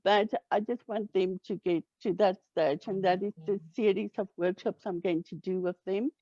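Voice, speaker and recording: A South African woman whose first language is Afrikaans, talking hesitantly with many pauses and fillers as an interview guest. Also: medium pitch, steady tone, warm tone, no echo. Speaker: romance author guest. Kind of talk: casual interview. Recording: dull lo-fi audio.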